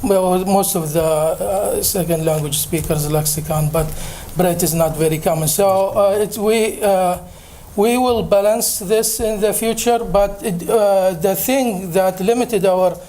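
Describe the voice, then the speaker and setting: Man, high pitch, mellow tone, hesitant, filler-heavy answers, conference presenter. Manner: hesitant, many filler pauses. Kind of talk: answering audience questions. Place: conference room.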